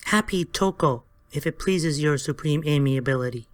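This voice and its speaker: A woman's voice: low-pitched, normal speed, natural voice. A woman voicing a small, timid silver court jester in a dramatized audiobook, deferential and sing-song in rhymes.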